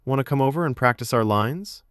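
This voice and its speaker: Man playing young female student in an audiobook: considerate, nervous, gentle, animated inflection, dry sound. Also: medium pitch, brisk, clean tone, no echo, pitched above the performer's own voice, close to an everyday voice. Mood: tentative. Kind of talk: acted audiobook dialogue.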